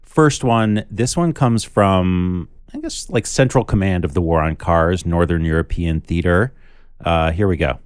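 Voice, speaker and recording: A man co-hosting a podcast, chatting casually in a relaxed, friendly way. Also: low voice, unhurried, clean tone, dry recording, studio quality. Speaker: podcast co-host. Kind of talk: casual chat.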